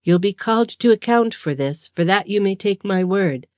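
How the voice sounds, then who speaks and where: A woman voicing a proud, defiant captive gentleman. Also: mid-range voice, expressive, moderate pace, dry recording, studio quality, natural voice. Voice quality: formal, indignant.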